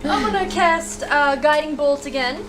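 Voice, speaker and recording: A woman playing a tabletop game, chatting casually in an expressive, reactive way. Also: sits high, normal speed. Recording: music under the voice.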